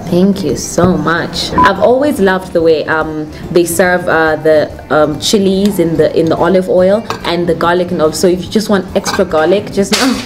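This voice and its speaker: Low-pitched young Kenyan woman, chatty. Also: moderate pace, few pauses, background noise. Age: young adult. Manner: chatty, informal. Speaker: food vlog host. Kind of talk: casual restaurant review chat to camera. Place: indoors at a restaurant table. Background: music.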